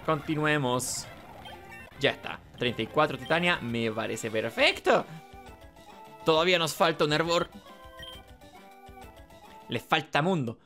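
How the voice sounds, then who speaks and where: A man's voice: a little high, animated, a little slow, pauses often, bright, forceful tone. A man with a Chilean accent giving casual playthrough commentary, repeating himself and muttering as he thinks. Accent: Chilean Spanish. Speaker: gaming video host. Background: video game music behind the voice.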